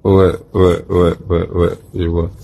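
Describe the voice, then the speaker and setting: A man's voice: deep voice, completely flat delivery, slow pace, gravelly, dark tone. A man with a West African French accent asking questions hesitantly in an informal phone conversation. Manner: hesitant, murmuring acknowledgements. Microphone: telephone.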